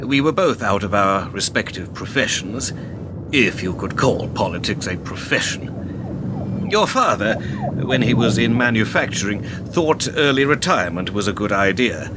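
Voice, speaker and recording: A man's voice: fairly low pitch, very animated, measured pace, heavy background noise. An actor playing a lofty, worldly older businessman who lectures in formal phrases in a radio play. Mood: reflective. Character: older worldly, self-justifying spin businessman, lofty and paternal.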